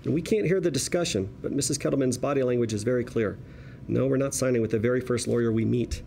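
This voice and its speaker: A man's voice: mid-range voice, fast, vocal fry, mellow, a little hiss or hum. A man narrating stage directions from a script at a table read, in present-tense screenplay style.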